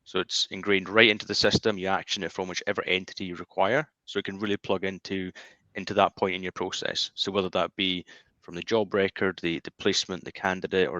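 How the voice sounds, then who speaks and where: A man's voice: sits low, level tone, fast talker, pushed and bright, dry recording. A man giving an informal product presentation from an office, heard over slightly dull, lo-fi call audio. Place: indoor office.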